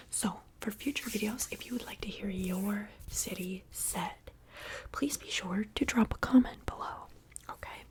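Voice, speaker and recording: Softly whispering woman, repeating words. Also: mid-range voice, poor audio. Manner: whispered, soft and rambling, repeating words over and over; playful, chatty asides to viewers. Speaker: ASMR creator. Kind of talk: ASMR whispering.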